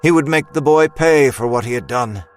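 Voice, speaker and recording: Give a male narrator reading dramatic fiction. His pitch is average for a man, and his scripted storytelling sits over soft background music. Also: normal speed.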